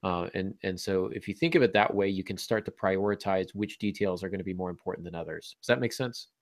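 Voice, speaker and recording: A man with a deep, creaky voice talks as the host of a casual panel. He explains through everyday examples with dry humor. The sound is close and dry, with very little room. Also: fast, no echo. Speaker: podcast host and fiction writing teacher.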